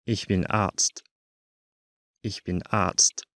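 A man teaching a language course, saying each short phrase twice in slow, careful drills. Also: sits low, little pitch movement, a bit bright, dry recording, clean studio sound.

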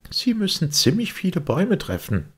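A man voicing a young, gentle and polite storybook girl with a wondering manner. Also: sits high, wildly swooping pitch, normal speed, husky, pitched above the performer's own voice, full character voice. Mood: gentle, curious.

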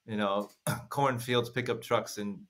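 An American man in his forties from the Midwest co-hosts a podcast over a video call. His voice sits low, and he talks in a jokey, animated way. He speaks through earphones from a small room at home. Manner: jokey, animated, quick to laugh. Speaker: podcast co-host. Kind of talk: casual video-call chat. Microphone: earphone headset on a video call.

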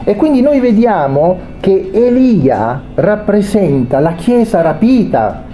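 A man preaching a sermon, reading a Bible passage aloud and then explaining it with pauses and restarts. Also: high voice, very animated, mellow, soft background music. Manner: reads scripture then explains it, hesitant pauses.

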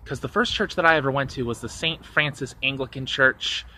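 Bright-voiced man in his thirties for a casual outdoor vlog, earnest and rambling, phone held at arm's length, faint traffic behind. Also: mid-range voice, brisk, low-quality recording. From Florida. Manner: rambling, earnest, self-correcting. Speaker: vlogger. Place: outdoors by a church on a sunny day. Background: faint traffic and outdoor ambience.

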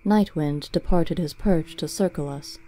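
A woman's voice: sits low, a little slow, mellow. A woman narrator giving a storybook reading of fiction.

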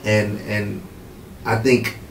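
A man's voice: fairly low pitch, very slow. A young man, a hip-hop artist talking casually in a radio studio interview, full of fillers and restarts. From Morocco. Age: 20s. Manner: relaxed, many fillers and restarts. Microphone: studio mic with headphones, heard from a far camera. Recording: roomy, distant camera audio with faint noise.

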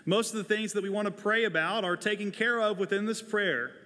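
A middle-aged man preaches from a pulpit in a slightly high voice. His phrasing is earnest and deliberate, with pauses between thoughts. The sound carries the long reverb of a large sanctuary. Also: pushed and bright. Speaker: pastor. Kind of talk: sermon, prepared and measured delivery. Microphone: lapel mic.

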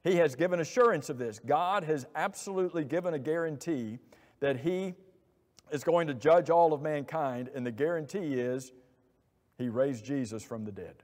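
A middle-aged man preaching a sermon from a church pulpit, animated and asking many rhetorical questions. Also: fairly high pitch, moderate pace. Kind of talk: expository sermon. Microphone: lapel mic. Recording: long hall reverb.

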